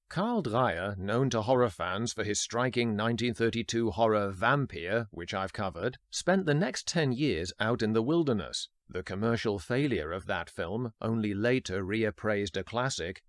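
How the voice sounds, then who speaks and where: A man hosting a podcast, reading a scripted film history narration in a measured, formal way. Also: lowish voice, no echo, clean studio sound. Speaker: podcast host.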